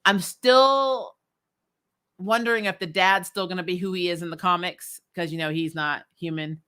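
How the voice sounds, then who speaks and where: A woman talks through an episode recap in a chatty, informal style. Her voice sits slightly low for a woman yet has a bright, forceful edge. She comes across as opinionated, dropping wry asides as she goes. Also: fairly even intonation, moderate pace, smooth. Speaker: host of a TV recap show. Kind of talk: casual chatty episode review commentary.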